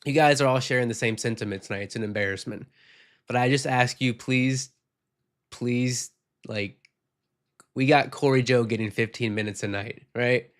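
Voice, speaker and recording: A man's voice: medium pitch, moderate pace. A man hosting a casual postgame podcast, reading viewer comments and giving frank opinions, sick and losing his voice. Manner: frank, rambling, reads viewer comments aloud. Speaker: podcast host.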